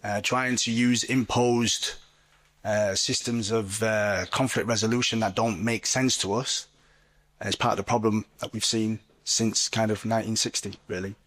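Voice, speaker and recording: A man speaking as an author on a festival panel, thinking aloud reflectively and often pausing with fillers. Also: fairly low pitch, steady tone, a bit bright. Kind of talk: panel discussion.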